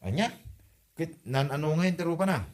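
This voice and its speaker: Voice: mid-range voice, a little fast, a little mellow, lightly acted. A performer acting a mild, questioning spouse in a comedy drama.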